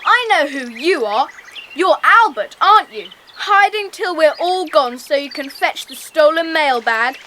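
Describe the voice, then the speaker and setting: A woman's voice: high voice, animated, measured pace. A woman playing an earnest, confident, bossy boy gang leader in a children's audio drama. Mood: excited, assured. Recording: noisy cassette transfer.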